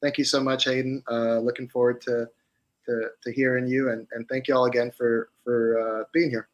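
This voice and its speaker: Man introducing a musician, halting. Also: mid-range voice, flat delivery, lo-fi sound. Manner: hesitant, lots of fillers and restarts. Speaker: event host. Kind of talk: introducing a performer.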